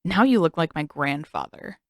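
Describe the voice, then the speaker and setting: A sarcastic teenage boy who grumbles and scoffs at everything, as a woman reading a storybook puts him on. He talks in a flat, dry, mocking way, in a slightly lower register than the narrator. The recording is close and dry. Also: normal speed, no echo. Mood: grumbling.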